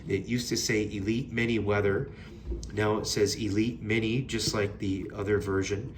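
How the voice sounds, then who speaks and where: A man's voice: on the low side, fairly even intonation, moderate pace, a little hiss or hum. A man giving a casual, unscripted product review in a plain-spoken, mildly critical way. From Canada.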